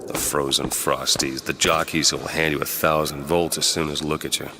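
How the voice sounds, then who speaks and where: An actor playing a world-weary, tough private detective with a gravelly voice and a terse, cynical manner, in a game. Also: male voice, rumbling low, flat delivery, normal speed, pushed and bright, slight background noise. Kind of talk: game dialogue, noir detective acting.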